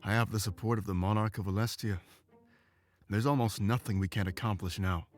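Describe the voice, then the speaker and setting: A man's voice: on the low side, fairly even intonation, a little fast, natural voice. A man voicing a devoted, frank warrior beast-man who is eager for combat in a visual novel game. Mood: earnest. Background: game music.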